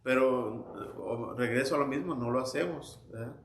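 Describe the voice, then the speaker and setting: A man's voice: mid-range voice, normal speed, a little hiss or hum. A man teaching a church Sunday school class, telling anecdotes conversationally with frequent restarts. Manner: storytelling, conversational, with many restarts and quoted anecdotes. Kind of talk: informal Bible class teaching. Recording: echoey church room, faint ambience.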